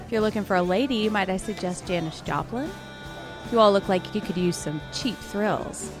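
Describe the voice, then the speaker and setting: A woman's voice: mid-range voice, moderate pace, faint music behind, natural voice. A young woman playing a sardonic, chatty bartender in her twenties, with a wry, casual delivery in a scripted audio drama.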